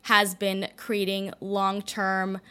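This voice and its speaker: A young woman with a Canadian accent chats as a podcast guest, her voice sitting at an everyday middle pitch. She runs through her story quickly and casually, with plenty of likes and ums along the way. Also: monotone, sharp tone, clear, resonant tone. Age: young adult. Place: indoor studio in a building.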